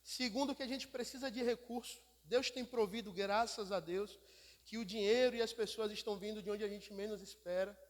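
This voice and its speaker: High-voiced Brazilian man preaching in reverberant hall. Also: brisk. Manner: emphatic storytelling with repetition for effect. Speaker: missionary speaker. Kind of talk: church sermon with missionary testimony. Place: church hall. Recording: echoey hall with long reverb.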